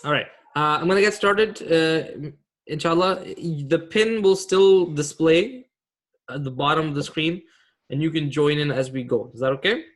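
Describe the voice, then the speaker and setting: Male imam-teacher, 30s, from Pakistan, relaxed, chatty, full of fillers; video-call audio. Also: on the high side, moderate pace. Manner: casual and friendly, many fillers. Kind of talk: online class for teens with a quiz.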